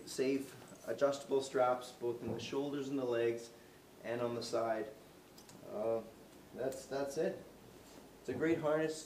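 Man, forties, casual unscripted product demo, moving about, picked up from afar in an echoey indoor room. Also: mid-range voice. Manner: relaxed, unscripted, many fillers and restarts; moving around while demonstrating. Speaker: product demonstrator. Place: indoor showroom, medium-sized room. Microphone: camera mic from a distance. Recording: roomy echo, distant camera mic, faint hiss.

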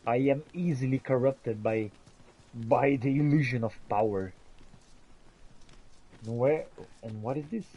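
A man's voice: medium pitch, measured pace, pauses often, dark tone, slightly rough, muffled recording. A man giving gameplay commentary in character, talking theatrically to the game's characters. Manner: theatrical, talks to game characters. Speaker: player roleplaying a game character.

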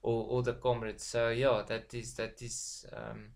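A man in his forties with an Afrikaans accent, speaking English as a second language in a casual motivational video, halting with many ums and restarts, filmed by a webcam in a small room at home. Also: medium pitch, little pitch movement, creaky, a little mellow. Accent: South African Afrikaans. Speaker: online business vlogger. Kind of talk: casual motivational talk to camera. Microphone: webcam at desk distance.

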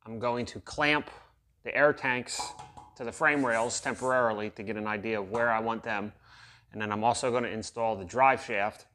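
A man in his thirties explaining a truck build in his garage workshop, halting with fillers as he walks through each step. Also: medium pitch, fairly even intonation, pushed and bright. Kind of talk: casual workshop update. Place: large garage workshop, indoors.

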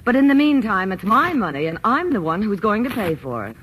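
An actress playing a wealthy, possessive, high-strung invalid wife in a melodramatic, imperious 1940s radio style. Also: female voice, sing-song, brisk, a bit bright. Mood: haughty. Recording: noisy old 1940s radio recording.

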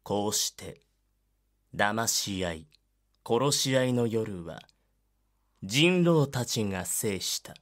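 A man narrating an audio drama from a script in a measured, solemn manner. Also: on the low side, lively intonation.

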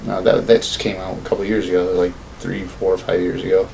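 A man's voice: low-pitched, level tone, fairly quick, gravelly, darkish tone, slight background noise, low-quality recording. A man in his thirties chatting casually about gaming as a podcast co-host, cursing freely in loose slang. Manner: profane, slangy. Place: small home studio room. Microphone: desk boom microphone.

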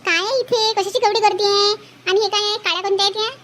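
A woman voicing several bickering village women in a cartoon, shrill and scolding, with a Varhadi Marathi accent. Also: fairly even intonation, fast, pushed and bright, a little hiss or hum. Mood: scornful. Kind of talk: cartoon voice acting.

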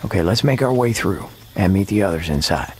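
A man's voice: deep voice, lively intonation, fairly quick, a little mellow. A man playing a stoic, stubborn cowboy gunslinger in a video game, terse and matter-of-fact. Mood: stern.

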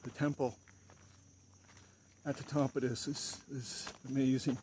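A man casually narrating in short, unhurried, halting phrases as he walks outdoors, filming on a handheld camera. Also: mid-range voice, flat delivery, darkish tone. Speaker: vlogger. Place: outdoors on a forest hiking trail. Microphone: handheld camera held by the speaker. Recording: outdoor handheld recording with ambient noise.

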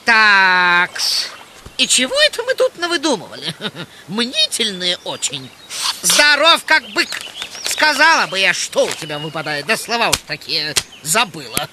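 Slow, swooping owl doctor lady. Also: medium pitch, big pitch swings, noisy background. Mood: sardonic. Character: brisk, sardonic middle-aged female owl doctor. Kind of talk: cartoon voice acting.

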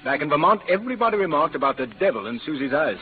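An actor playing a stern, proud frontier rancher in an old radio western, speaking formally and earnestly. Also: male voice, fairly high pitch, very animated, rapid-fire. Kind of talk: 1940s-50s radio western acting. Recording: muffled, noisy vintage radio recording.

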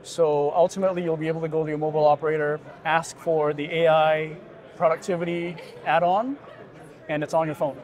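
A middle-aged man giving an assured, agreeable and well-structured conversational pitch as an interview guest. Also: little pitch movement, brisk, a little mellow. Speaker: startup founder being interviewed. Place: busy indoor expo hall booth.

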